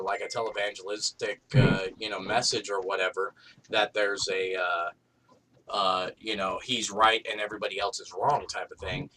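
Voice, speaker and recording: A man's voice: on the low side, bright, forceful tone. A man hosting a casual, crude round table chat, rambling and repeating himself as he goes. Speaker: round table show host. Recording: distant noisy mic with clicks.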